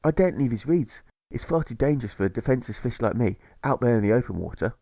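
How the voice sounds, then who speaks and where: A man's voice: mid-range voice, expressive, quick pace, gravelly, warm tone, no echo, studio quality. A man voicing a timid carp in a storybook fable, hesitant and fretful in manner. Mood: fearful.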